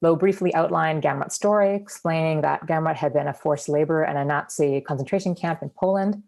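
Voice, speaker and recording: Brief: woman scholar presenting a seminar paper, low voice with some fry, hesitant fillers. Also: a little mellow. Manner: measured, with frequent ums and uhs. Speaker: academic presenter. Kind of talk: reading a conference paper.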